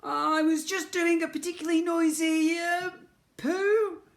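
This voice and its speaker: Man acting a high-pitched excitable young boy. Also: measured pace, vocal fry, heavily acted. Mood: flustered. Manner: spluttering, exclaiming.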